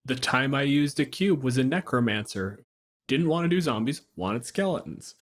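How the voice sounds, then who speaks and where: A man's voice: mid-range voice, expressive, normal speed. Wry, blunt man in casual podcast chat. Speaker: podcast co-host.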